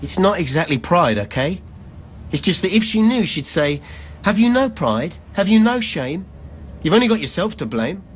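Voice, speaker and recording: A man's voice: sits high, big pitch swings, moderate pace, breathy edge, heavily acted. A man acting a proud, weary middle-aged labourer in a radio play, speaking conversational verse with wry asides. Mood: defensive, ashamed. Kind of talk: poetic radio drama acting.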